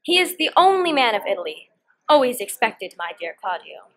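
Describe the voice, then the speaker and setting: A teenage girl acts a young noblewoman plotting in a Shakespeare comedy. Her voice is fairly high and highly animated, and she declaims the verse theatrically. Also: brisk. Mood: scheming, brisk. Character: Hero, scheming young noblewoman feigning disdain for her cousin. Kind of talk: Shakespearean stage dialogue, student performance.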